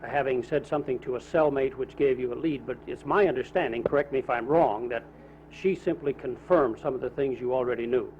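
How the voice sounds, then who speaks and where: A man's voice: mid-range voice, brisk, darkish tone. A man with an American accent working as a news reporter, putting questions in a press interview. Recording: noisy lo-fi archival newsreel sound.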